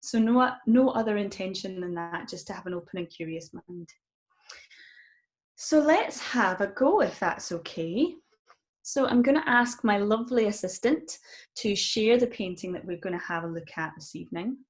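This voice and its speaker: A middle-aged Scottish woman guides listeners through a mindfulness session over a live stream. Her voice sits at an ordinary middle pitch, and she talks softly and warmly with plenty of ums. The sound comes through a simple computer microphone. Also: animated, normal speed. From Scotland. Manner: gentle, warm and encouraging, with frequent ums. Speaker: mindfulness coach.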